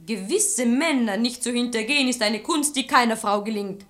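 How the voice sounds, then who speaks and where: An actress playing a cheeky, outspoken housemaid in a theatrical verse radio play, mocking and sharp-tongued. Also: female voice, on the high side, moderate pace, natural voice. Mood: sharp, reproachful. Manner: mocking, insolent.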